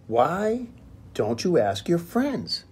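Man reading as a slow, patient mother rabbit. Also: a little high, wildly swooping pitch, a little mellow, pitched above the performer's own voice, full character voice. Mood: patient, instructive. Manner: drawn-out, instructive phrasing. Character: patient, kindly mother rabbit teaching her child. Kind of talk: children's picture book read-aloud. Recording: clear background room noise, phone recording.

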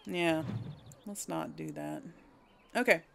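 A woman's voice: fairly low pitch, wildly swooping pitch, slow pace, vocal fry, a bit bright, slight background noise. A woman hosting a let's play, thinking aloud in casual, hesitant gameplay commentary. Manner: musing aloud, hesitant deliberation.

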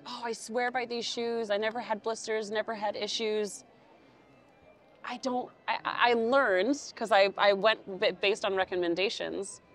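A woman of about forty from California, a pilgrim chatting freely in an outdoor interview with a lapel mic. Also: mid-range voice. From United States, California. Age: 40s. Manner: chatty, with light laughs. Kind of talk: casual interview answers. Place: outdoors by a stone building.

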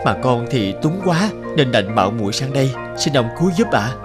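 A man's voice: mid-range voice, big pitch swings, fairly quick, over music, close to an everyday voice. Actor as a timid, pleading peasant father. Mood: anxious, humble. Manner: humble, hesitant, deferential. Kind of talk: animated folk tale voice acting.

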